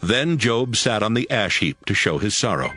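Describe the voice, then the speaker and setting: Male narrator, lowish pitch, animated intonation, scripted scripture storytelling, light music. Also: moderate pace. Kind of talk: scripted dramatic Bible narration.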